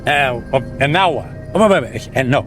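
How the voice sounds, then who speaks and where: An actor playing a brash, skeptical workaholic in a radio drama, with a wry, flippant way of talking. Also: male voice, medium pitch, expressive, a little fast, natural voice. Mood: annoyed, sarcastic. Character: skeptical, sarcastic workaholic man, brash and mocking. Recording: music behind the voice.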